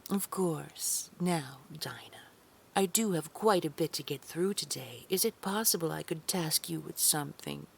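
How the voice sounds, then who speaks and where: Woman acting as haughty girlboss, sugary threats, gravelly fry, unhurried delivery, patronising, breathy near-whisper, audio drama. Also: sits low, a little hiss or hum. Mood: sugary, condescending. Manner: patronising endearments, slow controlled menace, often breathy near-whisper. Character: haughty, menacing female boss, sugary and condescending.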